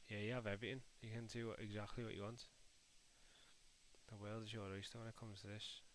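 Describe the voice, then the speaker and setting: Low, flat-voiced Scouse streamer. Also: male voice, brisk, slight background noise, poor audio. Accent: Liverpool (Scouse). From Liverpool, England. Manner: chatty, calls viewers mate and lad, mutters to himself while working. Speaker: livestream host. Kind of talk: casual live-stream chat while building in a game editor.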